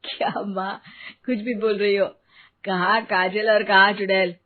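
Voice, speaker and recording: A woman voicing a casual, sceptical grown-up son in an animated moral story. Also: mid-range voice, unhurried, natural voice. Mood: dismissive, teasing. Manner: casual, dismissive. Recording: narrow phone-like sound, faint noise.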